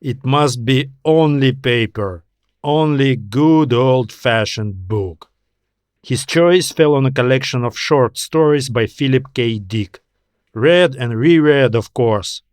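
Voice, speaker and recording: A man reading a scripted story as an audiobook narrator, in a measured, deliberate storytelling manner. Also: medium pitch, no echo, studio quality. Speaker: author narrating own story.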